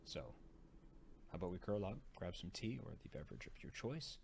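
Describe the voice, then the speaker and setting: A man with a fairly low voice narrates an old gothic tale. His delivery is theatrical and measured as scripted storybook reading. Faint noise runs behind him. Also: expressive, creaky, darkish tone. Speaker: audiobook reader.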